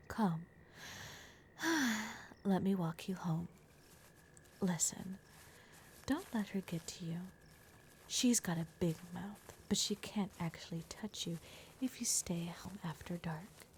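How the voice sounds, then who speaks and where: A woman's voice: on the low side, lively intonation, slow pace, pauses often, a little hiss or hum. A woman voicing a blunt, protective young werewolf girl in an ASMR roleplay, often dropping into a whisper. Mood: protective, soft. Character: gruff, protective young werewolf girl, exasperated but caring.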